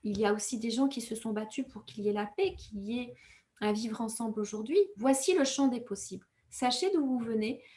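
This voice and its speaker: A woman from Rwanda, raised speaking Kinyarwanda, giving thoughtful interview answers as an author, measured and pausing often to find her words. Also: fairly high pitch, a little mellow. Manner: thoughtful, measured, with frequent hesitations and self-corrections.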